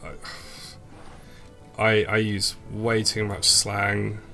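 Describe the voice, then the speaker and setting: A young English man streaming a game, chatting with viewers in a relaxed, rambling way full of fillers. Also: lowish voice, drawn-out words, creaky. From England. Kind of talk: casual stream chat with viewers. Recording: faint background music.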